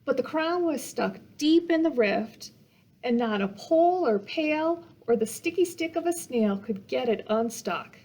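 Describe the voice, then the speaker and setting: A woman's voice: sits high, expressive, unhurried, warm tone. A woman reading a children's picture book aloud as a storytime reader, with dramatic emphasis meant to engage young listeners.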